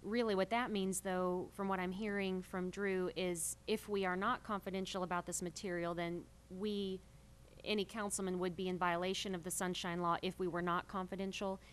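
A woman's voice: fairly low pitch, level tone, moderate pace, clear, resonant tone. A woman speaking as a council member at a public meeting, earnest and roundabout in her phrasing.

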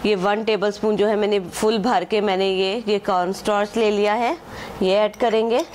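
A woman's voice: mid-range voice, steady tone, normal speed, darkish tone, a little hiss or hum. Chatty woman, home cooking voice-over. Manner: chatty, repeats "acha ji" and "ye dekhen", mixes English measures into Hindi-Urdu. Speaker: home cooking channel host. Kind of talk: casual step-by-step cooking instruction.

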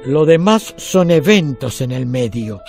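A man's voice: mid-range voice, sing-song, gravelly, darkish tone. Reflective elderly Argentine man. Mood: reflective, resigned. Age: 80s. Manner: deliberate, reflective. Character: weary eighty-year-old retired man, reflective and resigned. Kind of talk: audio drama monologue. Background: music.

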